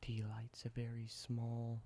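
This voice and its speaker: A man in a whispered ASMR roleplay, softly explaining everyday objects slowly and simply. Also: medium pitch, monotone, gravelly, slight background noise. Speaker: ASMR creator.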